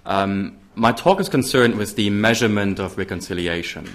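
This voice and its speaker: Man, 30s, German accent, formal academic keynote, slightly low voice, podium mic in a lecture hall. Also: fairly bright tone. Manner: measured, formal, with frequent ums. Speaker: keynote speaker. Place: large university lecture hall. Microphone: podium microphone.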